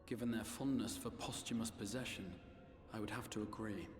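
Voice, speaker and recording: An actor playing a composed, analytical scholar-adventurer in a fantasy game, with formal, articulate speech. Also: male voice, fairly low pitch, fairly even intonation, a little fast, lightly acted. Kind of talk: scripted fantasy game voice acting. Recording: echoey hall reverb, game music behind.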